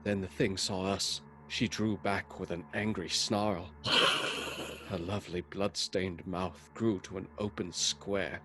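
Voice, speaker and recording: A haunted, earnest doctor tells a gothic horror tale in a low voice. His radio drama narration is measured and dramatic, with music underneath. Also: steady tone, low-quality recording. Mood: tense, haunted. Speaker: actor narrating a radio play.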